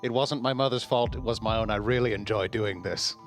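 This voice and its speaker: A man's voice: fairly low pitch, fairly quick, faint music behind, lightly acted. A man playing a flippant, foul-mouthed outlaw who defies the corporations in a tabletop roleplay. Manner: flippant, profane, defiant. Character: cocky, crude anti-corporate male outlaw who loves fire.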